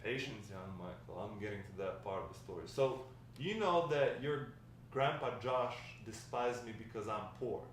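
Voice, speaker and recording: A man playing a grandfather who fondly recounts his wild, lovestruck youth in a halting table read. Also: on the low side, lively intonation, natural voice. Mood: reminiscing. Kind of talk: table read of a dramatic script. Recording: echoey room, distant mic, faint noise.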